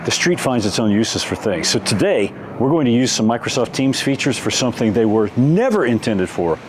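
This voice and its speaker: Man reporting over loud vehicle noise. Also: mid-range voice, sing-song, a little fast. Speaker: tech reporter and presenter. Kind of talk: on-location tech video reporting and casual chat. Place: outdoors at a racing circuit and inside cars. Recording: noisy car and outdoor ambience.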